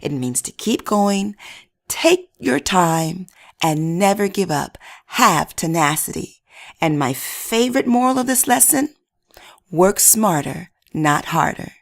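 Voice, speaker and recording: A woman hosting and narrating a children's read-aloud story with expressive, emphatic delivery. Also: on the low side, slow pace, gravelly. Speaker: storyteller and channel host.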